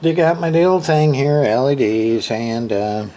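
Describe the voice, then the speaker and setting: A man giving a casual, unscripted hands-on repair tutorial, thinking aloud in a folksy, halting way. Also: medium pitch. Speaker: hobbyist repairer demonstrating. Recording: steady background noise, room ambience.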